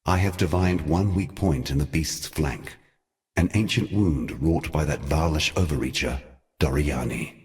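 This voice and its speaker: An ancient fallen deity with an extremely low voice. He speaks like a weary, eloquent schemer from a fantasy game, every phrase grave, archaic and measured. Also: full character voice. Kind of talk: theatrical scripted fantasy game dialogue.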